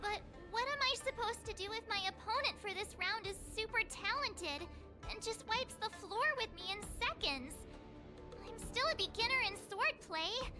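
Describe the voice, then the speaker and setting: An eager, anxious teenage girl in an anime-style game. The voice is extremely high and girlish, with expressive delivery over clear background music. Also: normal speed. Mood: nervous pleading. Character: nervous, eager teenage girl, novice swordfighter.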